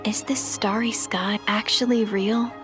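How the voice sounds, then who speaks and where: A woman's voice: a little high, steady tone, a little slow, lightly acted. A woman playing an earnest, dreamy young village adventurer in a video game cutscene, speaking reflectively. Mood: wistful. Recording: background music under the voice.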